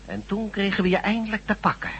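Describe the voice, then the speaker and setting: A man acting in a radio drama as a smug, menacing god posing as a doctor, deliberate and condescending. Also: sits high, fairly bright tone, breathy edge, lo-fi sound. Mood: smug, gloating.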